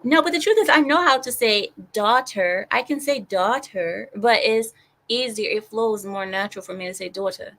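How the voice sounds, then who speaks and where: A woman's voice: fairly high pitch, normal speed, fairly bright tone. A woman who is a native Arabic speaker, hosting a casual group chat and repeating words as she explains herself. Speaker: host of a group discussion.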